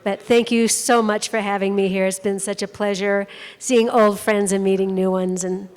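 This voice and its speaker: A woman's voice: mid-range voice, level tone, unhurried, clear, resonant tone. A middle-aged American woman, a guest speaker, giving short informal thanks. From United States. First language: English. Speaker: guest speaker, numismatic researcher. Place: large conference hall with audience. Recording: echoey hall, audience noise.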